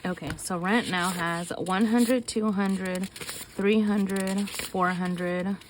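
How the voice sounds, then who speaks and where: A woman's voice: mid-range voice, steady tone, slight background noise. A young woman vlogging about her budget as she counts cash aloud, sounding nasal and short of breath from illness. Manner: counts bills aloud in quick chatty bursts. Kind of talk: casual chat while counting cash.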